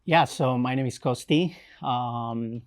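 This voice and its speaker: A young man from Romania with a Romanian accent, telling his life story in an interview with many pauses, fillers and restarts. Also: mid-range voice. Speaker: missionary guest. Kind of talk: interview, personal testimony.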